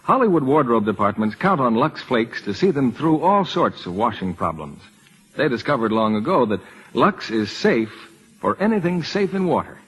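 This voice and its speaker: A man's voice: medium pitch, sing-song, moderate pace, fairly bright tone, husky, poor audio. A man delivering scripted advertising as a 1940s radio announcer. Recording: old radio broadcast recording.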